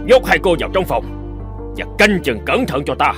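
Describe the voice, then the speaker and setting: A man acting as a stubborn middle-aged village patriarch in an animated drama. His fairly high voice swings widely in pitch as he commands and scolds, with music underneath. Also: normal speed. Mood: angry. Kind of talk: animated cartoon drama dialogue. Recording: music behind the voice.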